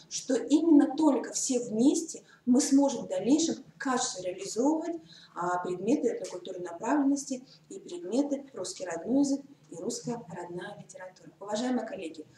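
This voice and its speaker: A woman's voice: a little high, warm tone. A woman moderating a seminar, formally introducing the speakers and politely addressing her colleagues. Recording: echoey room, mic far off, faint noise.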